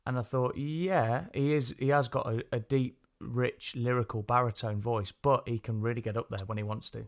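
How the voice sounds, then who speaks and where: A man's voice: mid-range voice, fairly even intonation, normal speed. A man hosting a music podcast, chatting in a rambling, easygoing way. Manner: rambling, easygoing, many fillers. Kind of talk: casual interview chat.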